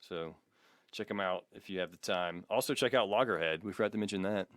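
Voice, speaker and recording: Wry low-voiced man hosting a podcast. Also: a little fast, dry recording, clean studio sound. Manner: wry, self-deprecating, mock-formal when reading. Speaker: podcast host. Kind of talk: jokey dramatic reading and casual banter.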